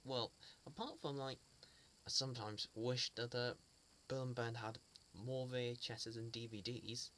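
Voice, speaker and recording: An earnest man talks at a middle pitch, stopping and restarting his sentences often and repeating words as he searches for them. His tone is fond and sincere throughout. A faint hiss sits quietly behind his voice. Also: steady tone, measured pace. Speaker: fan sharing memories. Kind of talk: casual spoken reminiscence.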